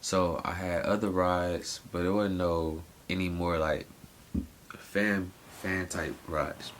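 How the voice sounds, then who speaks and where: A man's voice: low-pitched, fairly even intonation, takes it slowly, a bit bright, slight background noise. A man in his late twenties talking through his day in a loose, rambling vlog monologue, full of slang and restarts. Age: 20s. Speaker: vlogger. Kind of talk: casual personal monologue.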